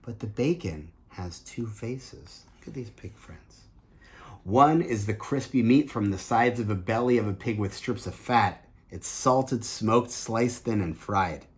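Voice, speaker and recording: A man's voice: fairly low pitch, unhurried. A man reading a picture book aloud as a teacher, earnest and emphatic, with explanatory asides to young listeners. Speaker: teacher and host of a children's read-aloud show.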